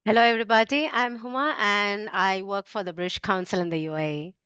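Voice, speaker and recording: A woman whose first language is Urdu talks fluently and cheerfully about her background. Her voice is smooth and crisp. The recording is dry and slightly dull. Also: medium pitch, fairly quick, a bit bright, dry recording. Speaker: English teacher.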